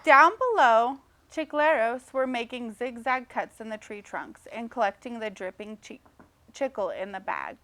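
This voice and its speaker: A woman teacher reading a storybook aloud expressively to children, pausing to check in with simple questions. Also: a little high, lively intonation, a bit bright.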